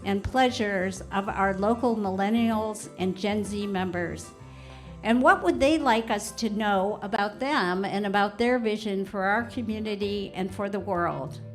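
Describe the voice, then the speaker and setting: An older woman hosting a live public radio roundtable in front of an audience, chatty and self-deprecating. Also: mid-range voice. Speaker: radio show host. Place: community arts center before a live audience.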